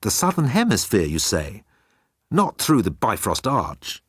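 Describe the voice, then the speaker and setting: A man's voice: fairly low pitch, wildly swooping pitch, measured pace, slightly rough, dry recording, studio quality, exaggerated voice. A man voicing a flustered, shifty she-devil who hesitates and is eager to please, in a comic audiobook.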